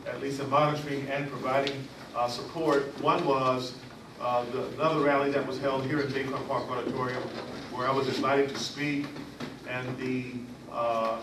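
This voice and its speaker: A man chairs a community board meeting and reads out his report, halting often with fillers and restarts. He sounds far from the mic in an echoey room, with steady background noise. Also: mid-range voice. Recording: echoey, distant, noisy room recording.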